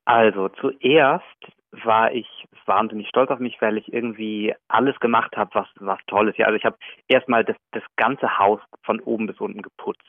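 A man's voice: fairly low pitch, normal speed, dry recording. Man on a tinny phone line. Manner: relaxed, self-deprecating, many fillers. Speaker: pianist, guest. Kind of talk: casual phone interview. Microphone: telephone.

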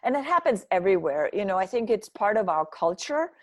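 A woman's voice: medium pitch, very animated, fairly quick, dry recording, clean studio sound. A woman from Denmark giving thoughtful, earnest answers as an interview guest, often checking in with "right?". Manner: earnest, reflective, frequent restarts and "right?" tags. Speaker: guest, nutrition author and speaker.

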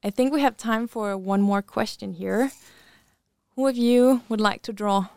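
A woman's voice: medium pitch, unhurried, creaky, darkish tone, clean tone, dry recording, clean studio sound. A woman hosting a company podcast interview, upbeat and chatty, with frequent laughs and "uh" fillers. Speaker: podcast host.

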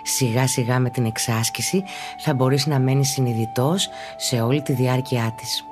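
A woman calmly narrates a guided relaxation practice as a teacher, in a measured, instructional way. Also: extremely low pitch, music in the background. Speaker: yoga and meditation teacher, podcast host.

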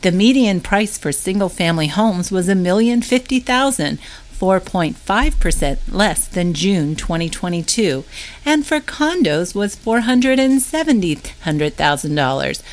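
A woman's voice: lowish voice, expressive, unhurried. A woman narrating a monthly newsletter update, reading from a script.

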